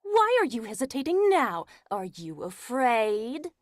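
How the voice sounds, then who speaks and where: An actress playing a cold, bossy, impatient wife in a 1940s-style radio melodrama, scornful and commanding. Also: female voice, fairly high pitch, very animated, unhurried, no echo, studio quality.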